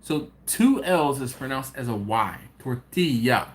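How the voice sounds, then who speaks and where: A man's voice: mid-range voice, animated, a little slow. A man hosting a casual live stream, reading viewer comments aloud and rambling with frequent restarts. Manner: rambling, talks to viewers directly, restarts often. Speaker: barbecue channel host. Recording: roomy echo, faint ambient noise.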